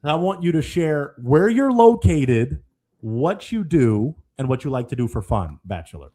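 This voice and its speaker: Male host for a live dating show, playful, husky edge to the voice. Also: a little high, moderate pace. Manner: playful, animated, addresses guests as kind sir.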